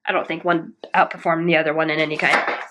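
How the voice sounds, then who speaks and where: A woman's voice: deep voice, little pitch movement, fast, heavy background noise. A woman hosting a casual cleaning vlog from her home kitchen, chatty and rambling with restarts, her voice stuffy from a cold. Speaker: cleaning video host. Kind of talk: casual product test vlog.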